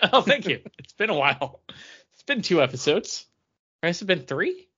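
A man's voice: sits high, sing-song, moderate pace, pushed and bright, husky. A man co-hosting a podcast, talking casually among friends. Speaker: podcast co-host. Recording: dull lo-fi voice recording.

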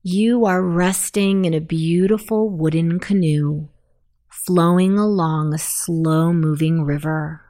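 Female sleep meditation narrator, slightly low pitch, very unhurried pacing, soothing and deliberate delivery. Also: steady tone, mellow. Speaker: meditation guide.

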